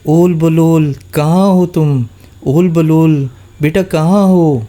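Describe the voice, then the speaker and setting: A man putting on a high, fierce mother goat. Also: very animated, warm tone. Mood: worried. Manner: high, pleading, then defiant.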